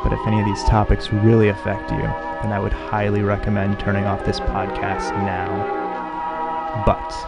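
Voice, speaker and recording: A man's voice: fairly low pitch, monotone, moderate pace, highs cut off. A man hosting an old-time horror radio show, speaking in an ominous, theatrical way straight to the listener. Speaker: podcast host. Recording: music behind the voice. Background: loud music.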